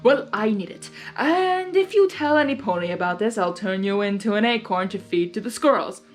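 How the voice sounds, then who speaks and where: A woman's voice: medium pitch, lively intonation, moderate pace, faint music behind, natural voice. A woman voicing a petulant, self-important male chaos creature in a story, melodramatic and exasperated. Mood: cocky.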